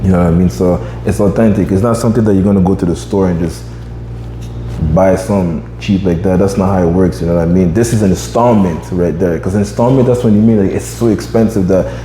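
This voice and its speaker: A man's voice: sits low, fairly even intonation, brisk, mellow, echoey room, big-room sound, a little hiss or hum, low-quality recording. A man in his twenties hosting a podcast, chatting casually and rambling with lots of fillers. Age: 20s. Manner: rambling, many fillers like "you know what I mean". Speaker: podcast host.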